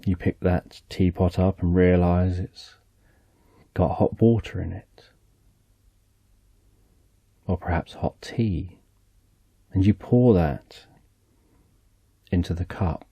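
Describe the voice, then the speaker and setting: A man narrating a slow, soothing guided relaxation story in the second person, speaking softly and gently. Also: low-pitched, breathy edge, no echo.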